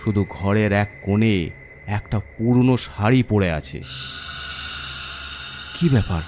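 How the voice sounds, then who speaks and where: A man's voice: lowish voice, slow, a little mellow, slight background noise. A man narrating a first-person horror story in a theatrical, suspenseful way. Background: music.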